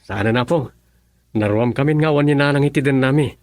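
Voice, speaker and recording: A man's voice: mid-range voice, brisk, darkish tone, no echo, studio quality, natural voice. A man playing a respectful, earnest young adult son in an Ilocano radio drama, with an Ilocano accent. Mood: wistful.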